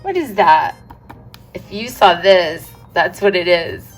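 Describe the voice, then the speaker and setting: A woman's voice: lowish voice, animated, slow pace, soft background music. A woman teacher reading a picture book aloud to children, chatting and asking them questions about the pages. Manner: chatty, asks the children questions and laughs along.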